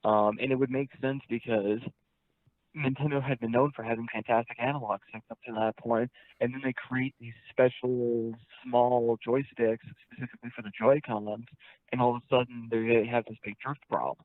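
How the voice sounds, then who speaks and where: A man's voice: medium pitch, fairly even intonation, moderate pace, dry recording, narrow, tinny audio. A man hosting a casual livestream chat while sick, talking bluntly, rambling and swearing. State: sick, tired voice. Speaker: streamer host.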